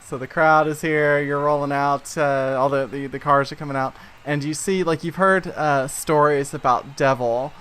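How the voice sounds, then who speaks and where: A man narrating an improvised tabletop game as game master, in a halting, conversational way. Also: a little high, steady tone, fairly bright tone, smooth.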